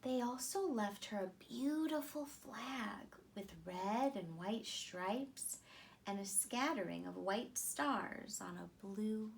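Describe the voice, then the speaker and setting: A woman in her thirties reading a children's picture book aloud with deliberate, expressive phrasing. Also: mid-range voice, creaky, slight background noise, rough recording. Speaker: storytime reader.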